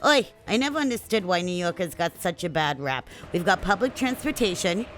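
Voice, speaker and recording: A woman's voice: fairly low pitch, animated, fairly quick, a bit bright, soft background music. A woman playing a chatty, gossipy older neighborhood watch host.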